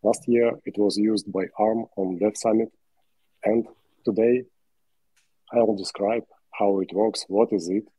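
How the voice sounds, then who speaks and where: Hesitant man with a dark, dry voice. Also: fairly low pitch, steady tone, husky, no room sound, poor audio. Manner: hesitant, many pauses and uh's. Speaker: guest, startup CEO. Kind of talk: introducing his company on a video call. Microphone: video call.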